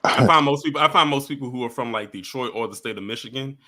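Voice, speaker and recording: A man's voice: medium pitch, fast, pushed and bright. A man hosting a casual talk show, chatting with his guests. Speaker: host.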